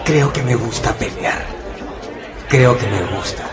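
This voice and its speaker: A man playing a fierce but puzzled fighting wolf in a radio drama, speaking his inner thoughts slowly and deliberately. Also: medium pitch, close to an everyday voice. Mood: brooding. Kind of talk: Spanish-language radio drama acting. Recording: echoey, distant, very noisy with crowd behind.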